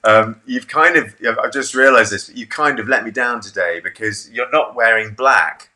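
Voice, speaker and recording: A man's voice: mid-range voice, moderate pace, sharp tone. A man in his thirties hosting a casual video-call interview from a home office, chatty, jokey and self-deprecating. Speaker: interview host.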